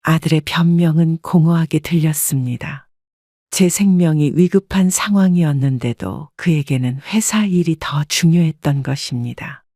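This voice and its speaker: A woman calmly narrating a first-person life story in a measured, scripted way. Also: low voice, no echo, studio quality.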